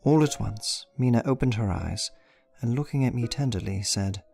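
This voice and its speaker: A man's voice: mid-range voice, normal speed, vocal fry, warm tone, faint music behind, close to an everyday voice. An actor playing a troubled, devoted young husband, narrating his diary solemnly in a radio play. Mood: tender. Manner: solemn, brooding, reflective.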